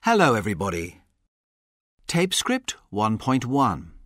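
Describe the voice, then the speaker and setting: A man narrating a language course, announcing track numbers in a formal, measured way. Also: lowish voice, huge pitch swings, a bit bright, no echo, studio quality. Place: dry studio.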